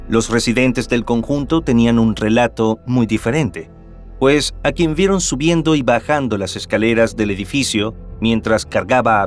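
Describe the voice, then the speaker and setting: A man narrating a true-crime documentary from a script in a measured, formal way. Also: mid-range voice. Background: background music.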